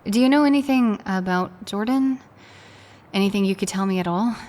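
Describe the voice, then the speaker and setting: A woman's voice: mid-range voice, normal speed, a little mellow, close to an everyday voice. A woman playing a grieving, bewildered partner seeking answers in an audio drama, with an uneasy, questioning delivery. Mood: pleading, searching.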